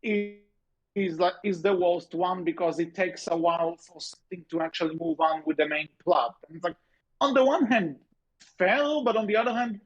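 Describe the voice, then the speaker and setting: The audio is slightly dull and lo-fi. A man with a fairly high-set voice talks casually as a podcast co-host. He feels his way through each point haltingly, often doubling back to rephrase. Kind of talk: casual discussion of animated shows.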